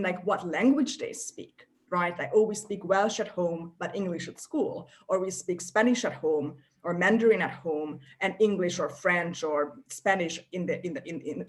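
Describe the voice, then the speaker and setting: A woman in her thirties from Eastern Europe, hosting a casual discussion in a thoughtful, discursive way, often checking in with the listener. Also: fairly low pitch. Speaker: host of an online discussion salon.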